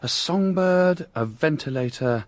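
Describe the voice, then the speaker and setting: An actor playing a brisk, self-assured and imperious French detective with a dry wit in a radio drama. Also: male voice, fairly high pitch, expressive. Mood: pensive, assured. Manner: dryly witty, commanding, theatrical delivery.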